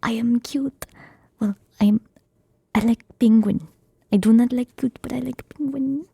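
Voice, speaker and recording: A woman's voice: fairly high pitch, fairly even intonation, a little slow, vocal fry, warm tone, breathy edge, no room sound, clean studio sound. A woman ASMR streamer whispering a script reading and chatting playfully in jokey broken English with a put-on Russian accent, into a streaming microphone at home.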